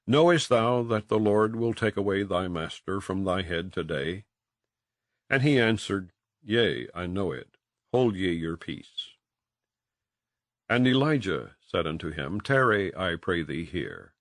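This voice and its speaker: A man narrating scripture in a solemn, measured and declamatory way, like a scripted audiobook reading. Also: low voice, dry recording, studio quality. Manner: measured, declamatory, with archaic phrasing.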